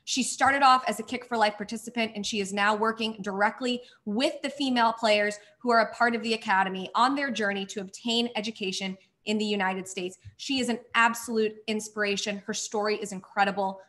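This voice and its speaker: A woman's voice: mid-range voice, steady tone, fairly quick, hardly any pauses, a bit bright. A woman hosting a virtual charity gala, thanking sponsors effusively while losing her voice. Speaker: gala host. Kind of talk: scripted event hosting.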